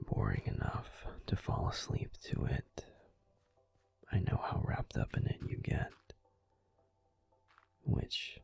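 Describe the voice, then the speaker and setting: A man's voice: low voice, heavily acted. A man whispering as a grumpy werewolf boyfriend. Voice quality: gruff and soft whispering. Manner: fussy protective nagging, then soothing. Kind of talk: whispered audio roleplay sleep aid.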